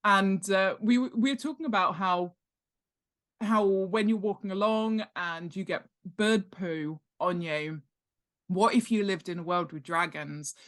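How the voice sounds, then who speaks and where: A woman's voice: medium pitch, a little slow, a bit bright. A woman chatting casually as a guest expert in an interview, in a chatty, jokey and emphatic way. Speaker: guest author and world-building expert. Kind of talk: casual podcast interview chat.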